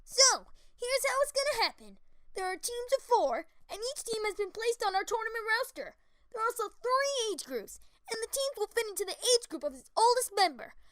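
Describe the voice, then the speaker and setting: A woman's voice: extremely high pitch, big pitch swings, normal speed, fairly bright tone, dry recording, full character voice. A woman voicing an upbeat young female cartoon pony, announcing with peppy energy in an audio story. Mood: brisk, upbeat. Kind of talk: dramatized audiobook, event announcing.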